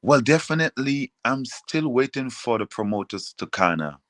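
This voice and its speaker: A man's voice: medium pitch, expressive, normal speed, fairly bright tone, dry recording, studio quality. A man with a Jamaican accent chatting casually as a singer being interviewed and promoting himself.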